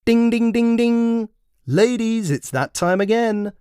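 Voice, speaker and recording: Man putting on a high, brisk, bossy event organiser woman. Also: animated, darkish tone, clear, resonant tone, no room sound, studio quality, heavily acted. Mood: brisk, announcing. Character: Sue, brisk commanding woman running a speed-dating event.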